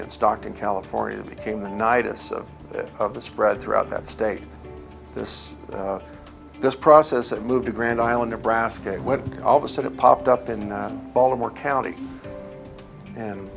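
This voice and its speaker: A man's voice: on the low side, creaky. A man recalling past events in a documentary interview, telling anecdotes and quoting remembered conversations.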